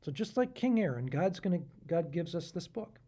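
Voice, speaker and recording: A man, a pastor, telling a children's story in an expressive, animated way and speaking directly to his listeners. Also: high voice, normal speed. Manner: animated, asks the listeners questions.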